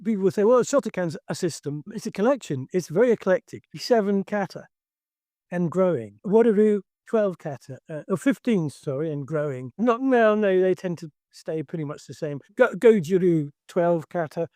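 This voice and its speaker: A man's voice: high voice, expressive, normal speed, dark tone, no echo, clean studio sound. An older man who teaches karate and talks emphatically in a discussion, restarting his sentences often.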